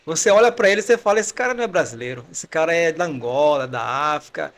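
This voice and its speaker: A man's voice: on the high side, lively intonation, quick pace, fairly bright tone. A man hosting a faith testimony podcast, welcoming his guest and chatting, sometimes stopping to search for a word. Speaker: podcast host. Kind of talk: podcast interview.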